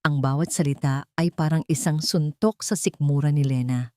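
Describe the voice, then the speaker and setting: Lady narrator doing a dramatic love story, lowish voice, really dry sound. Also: moderate pace, mellow, no echo.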